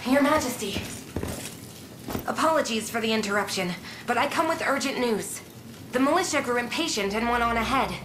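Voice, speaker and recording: A woman's voice: fairly high pitch, lively intonation, a little fast, reverberant, background noise, natural voice. A woman playing an earnest, loyal scout in a video game, speaking with formal sincerity. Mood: urgent, earnest. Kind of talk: video game cutscene voice acting.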